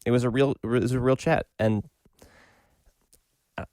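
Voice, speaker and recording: A man's voice: medium pitch, steady tone, moderate pace, darkish tone, no echo, clean studio sound. A man hosting a podcast, talking in an informal, conversational way. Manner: informal, appreciative, says "man" and "dude" often. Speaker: podcast host. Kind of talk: casual chat and informal host outro.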